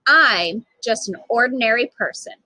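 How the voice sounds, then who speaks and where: A woman reading a children's picture book aloud in an expressive, emphatic way with dramatic pauses. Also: on the high side, dry recording, rough recording. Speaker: storytime reader, literacy program manager.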